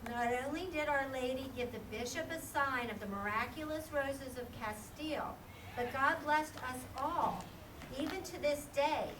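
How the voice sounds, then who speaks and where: A woman narrating and presenting a children's puppet show as a storyteller. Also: high-pitched. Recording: echoey, distant, noisy live recording.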